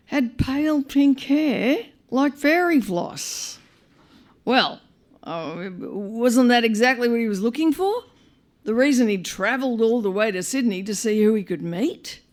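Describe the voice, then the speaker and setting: A woman reading a short story live to an audience, with wry comic narration, dramatic emphasis and deliberate pauses. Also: a little high. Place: live on stage at a performance venue.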